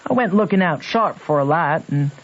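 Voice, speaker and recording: An earnest backwoods boy with a high voice and a Southern American drawl. He talks in folksy, rustic grammar as he narrates and acts in a radio play, wrestling with his conscience. The sound is a dull old radio recording. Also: expressive, normal speed, fairly bright tone. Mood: troubled. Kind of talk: old-time radio drama, first-person narration and dialogue.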